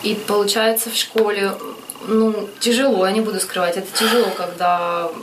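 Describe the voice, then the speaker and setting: A woman's voice: medium pitch, steady tone, moderate pace, recorded from a distance, a little hiss or hum. A woman guest in a casual interview, chatting with frequent laughs and hesitant fillers.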